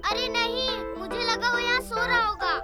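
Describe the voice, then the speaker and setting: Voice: very high-pitched, fairly even intonation, moderate pace. An actor voicing a tiny toddler boy rabbit, childish and eager, in a cartoon. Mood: puzzled. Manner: childish, eager, wide-eyed. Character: tiny giggly toddler boy rabbit, adoring little brother. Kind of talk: Hindi cartoon dub acting. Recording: prominent music behind the voice.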